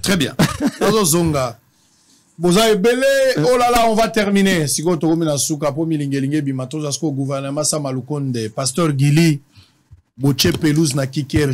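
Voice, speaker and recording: A man's voice: fairly high pitch, moderate pace. A man with a Congolese accent hosting a casual political talk show, switching between languages as he speaks.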